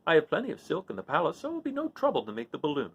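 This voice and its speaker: A man acts out a little storybook wizard, talkative and grand. The voice sits high and swings widely, with an eager, explaining showman's manner. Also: wildly swooping pitch, very fast, slightly rough, full character voice. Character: little old humbug wizard, male, chatty, grandly commanding. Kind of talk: storybook read-aloud character voice.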